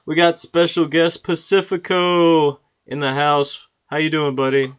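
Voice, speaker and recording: A man with a fairly high voice hosts a casual sports podcast. He talks in a loose, joking way and often breaks into laughter between lines. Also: flat delivery, a little slow, clean tone. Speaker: podcast host.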